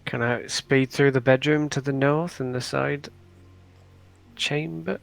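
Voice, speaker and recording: A man's voice: medium pitch, fairly even intonation, takes it slowly, vocal fry. A man playing a tabletop game, thinking aloud with hesitant fillers. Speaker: player. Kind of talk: casual table talk. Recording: faint music behind.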